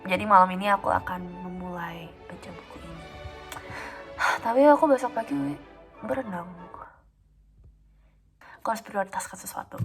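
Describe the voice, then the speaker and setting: A woman of twenty-one talking casually in a home vlog, calm and chatty with frequent fillers. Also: mid-range voice, moderate pace, long pauses, soft background music. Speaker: vlogger.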